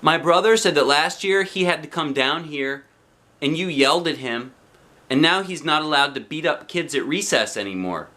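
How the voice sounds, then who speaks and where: A man's voice: a little high, animated, moderate pace, sharp tone, voice pushed up. A man voicing a chatty little kindergarten girl who tattles in a rambling way, from a children's storybook. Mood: tattling.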